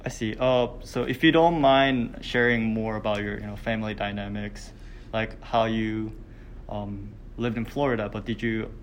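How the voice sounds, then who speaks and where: A young man, a student, interviewing a classmate and asking his questions hesitantly, with many pauses and restarts. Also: on the low side, fairly even intonation, slight background noise. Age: young adult. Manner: hesitant, full of ums and restarts. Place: hotel meeting room.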